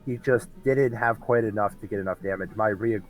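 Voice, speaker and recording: A man with a gravelly, hoarse voice from a cold, talking casually and hesitantly about the games he won. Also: lowish voice, a little fast, soft background music, poor audio. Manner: hesitant, restarts often. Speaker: tournament winner, interviewee. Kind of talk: casual post-match chat.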